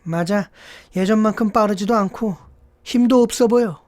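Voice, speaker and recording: A woman's voice: mid-range voice, moderate pace, a little mellow, natural voice. A woman voicing a gossiping village onlooker in a fable, folksy and exclaiming. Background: background music.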